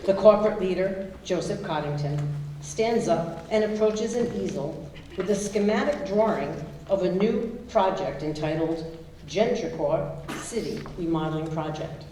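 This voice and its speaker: A woman's voice: fairly low pitch, normal speed, mellow. Distant woman narrating in a very echoey hall. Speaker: stage directions reader. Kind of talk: scripted narration. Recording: echoey hall from far off, faint noise.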